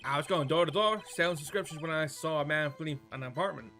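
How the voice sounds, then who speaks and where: Man acting male game witness, shifty newspaper seller, stiff deliberate testimony, forceful bright voice, music behind. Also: a little high. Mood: recounting.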